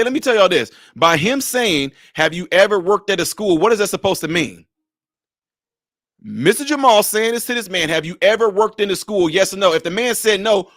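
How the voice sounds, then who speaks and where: A man giving animated casual commentary on a video clip, with pointed rhetorical questions. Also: high voice, no echo, clean studio sound. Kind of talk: casual live-stream commentary.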